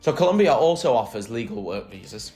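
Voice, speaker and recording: A man's voice: mid-range voice, very animated, brisk, mellow, soft background music. Wry man co-hosting a casual chat. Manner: wry, playful asides. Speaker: co-host.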